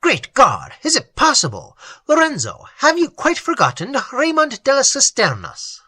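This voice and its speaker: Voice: sits high, wildly swooping pitch, moderate pace, pushed and bright, no echo, voice pushed up, full character voice. A reader voicing a proud, formal young nobleman in an audiobook. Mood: commanding. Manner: formal, commanding.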